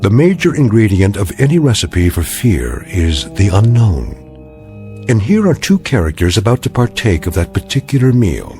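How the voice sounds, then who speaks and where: Ominous, low-pitched, swooping narrator. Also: male voice, huge pitch swings, over music. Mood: ominous, wry. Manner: deliberate, portentous. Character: ominous, wry male narrator of uncanny tales. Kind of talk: scripted radio drama narration.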